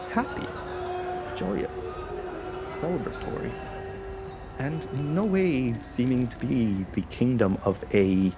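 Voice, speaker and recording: Game master, a man with a slightly low voice narrating slowly and haltingly, music behind. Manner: slow, halting, with many fillers. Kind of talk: descriptive tabletop narration. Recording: music bed under the voice.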